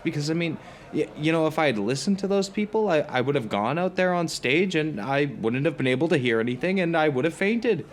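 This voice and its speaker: An actor playing a hesitant, neurotic dinner companion in an audio drama, speaking in a halting, stammering, hedging way. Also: male voice, on the high side, expressive, natural voice. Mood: nervous grievance. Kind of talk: conversational audio drama dialogue.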